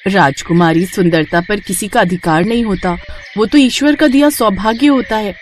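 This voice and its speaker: A woman voicing a timid maidservant in a cartoon story, deferential and hesitant as she flatters her mistress. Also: mid-range voice, moderate pace, slight background noise, natural voice. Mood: deferential, worried.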